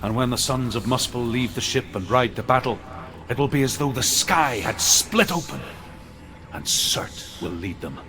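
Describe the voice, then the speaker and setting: An actor playing a grave storyteller of old Norse legends, narrating dramatically for a video game. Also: male voice, medium pitch, expressive, moderate pace, close to an everyday voice. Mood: grave, foreboding. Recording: noisy ambience behind voice.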